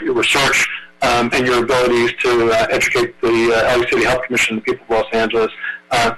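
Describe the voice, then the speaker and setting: A man from Brooklyn, New York, chairing a public meeting over a video call, speaking haltingly with many fillers. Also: medium pitch, heavy background noise. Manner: halting, many filler words, effusively thankful. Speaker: commission chair.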